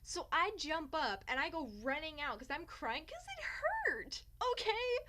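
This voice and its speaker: A young woman with a high, very bright voice chats casually through a personal story. She is theatrical and sarcastic, poking fun at herself as she goes. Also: big pitch swings, unhurried. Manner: theatrical, sarcastic, self-mocking. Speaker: vlogger telling a personal story. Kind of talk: casual storytime chat to camera.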